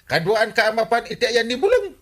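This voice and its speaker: A man playing an excitable, folksy village captain in a radio comedy-drama, with an Ilocano accent and exclamatory, hesitant interjections. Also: very high-pitched, a little fast, heavily acted. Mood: excited urging.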